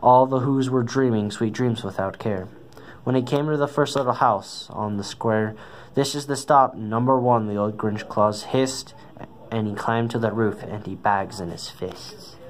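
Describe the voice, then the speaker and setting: A man's voice: medium pitch, fairly even intonation, measured pace. A man reading a children's story aloud, stumbling now and then over the words. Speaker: storybook reader. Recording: noisy amateur recording.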